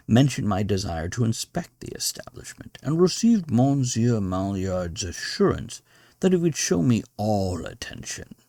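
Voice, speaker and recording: A man with a deep, husky voice narrates a classic story in measured, deliberate phrases. His pitch moves up and down expressively as he reads, in the manner of an audiobook. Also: mellow, dry recording, studio quality. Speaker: podcast host reading classic literature aloud. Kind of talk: audiobook-style narration of a gothic short story.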